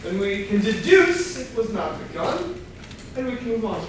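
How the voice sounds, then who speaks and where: Man acting a high-pitched, fussy amateur detective. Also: sing-song. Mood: earnest, fussy. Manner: halting, pedantic. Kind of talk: staged comedy reading. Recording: very echoey room, distant mic, clear noise.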